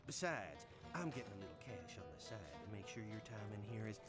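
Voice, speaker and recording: A man's voice: lowish voice, sing-song, a little fast. A man playing a corrupt prison warden in a video game, mock-friendly and sarcastic. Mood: mocking menace. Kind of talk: video game villain acting. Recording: game music behind the voice.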